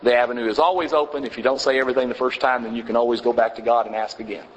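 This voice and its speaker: Man, church teacher, casual halting talk, fillers and restarts, middle pitch, long hall reverb. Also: steady tone. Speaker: preacher teaching a congregation class. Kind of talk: informal Bible class teaching. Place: church meeting room.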